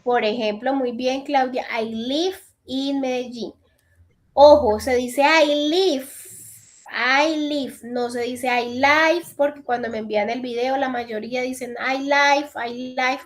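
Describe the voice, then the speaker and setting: High-voiced woman teaching English. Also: fairly even intonation, takes it slowly. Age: 30s. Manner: explains step by step, checks in with repeated "listo". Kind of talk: online class instruction. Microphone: computer mic on a video call.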